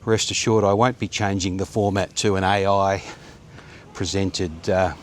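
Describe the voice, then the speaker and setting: A man in his fifties talks in a rambling, friendly walk-and-talk style, full of ums and restarts, with a voice sitting a little low. He films himself on a handheld camera outdoors on a sunny suburban street, with faint traffic hum behind him. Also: flat delivery, measured pace. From Australia. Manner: rambling, chatty, with many fillers. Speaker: vlogger. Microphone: phone or action camera held at arm's length.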